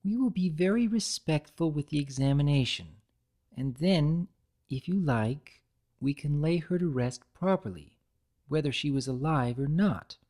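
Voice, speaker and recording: A woman voicing a calm, gentle, studious young female cartoon pony who reasons in a measured, considerate way. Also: deep voice. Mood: gentle, inquiring.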